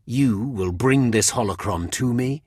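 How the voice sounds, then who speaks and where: An actor playing a cruel, contemptuous Sith overseer in a video game, sneering and commanding with a husky, menacing voice. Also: male voice, mid-range voice, measured pace, darkish tone, dry recording, studio quality. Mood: stern, commanding. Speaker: villainous trial master in a video game. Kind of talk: scripted game voice acting.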